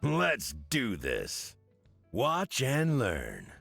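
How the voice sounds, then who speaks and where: An actor playing a cocky, flamboyant, self-adoring axe warrior in a video game, boastful and theatrical, with a gravelly rasp. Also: male voice, mid-range voice, wildly swooping pitch, drawn-out words, fairly bright tone, no room sound, soft background music, exaggerated voice. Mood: cocky, menacing. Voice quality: raspy, gravelly. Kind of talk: video game champion voice lines.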